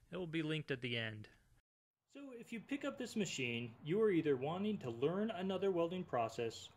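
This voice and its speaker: Casual man narrating a DIY review. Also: on the high side. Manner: informal, conversational.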